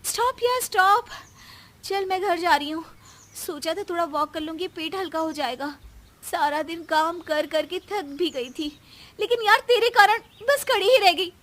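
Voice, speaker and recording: A woman voicing a flustered, loud young daughter-in-law in a cartoon moral story, exclaiming dramatically. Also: very high voice, a little slow, pitched above the performer's own voice. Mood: complaining.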